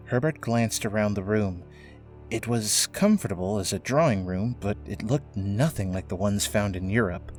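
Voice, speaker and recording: A man's voice: fairly low pitch, normal speed, darkish tone. A man reading scripted narration for an audio drama, in a storytelling style. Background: faint music.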